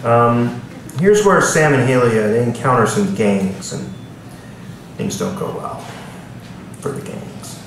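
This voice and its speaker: A man's voice: mid-range voice, big pitch swings, a little slow, gravelly, long pauses. A man, an author, giving a live literary reading at a bookstore with casual asides to the audience. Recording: echoey room, distant mic, background noise.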